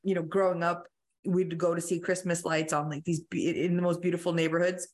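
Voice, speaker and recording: A woman's voice: fairly low pitch, level tone, a little fast, darkish tone. A woman hosting a podcast, chatting casually and effusively with lots of "like" and "you know.". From moved from Italy to the United States as a young child. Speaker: podcast host. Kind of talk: casual enthusiastic chat.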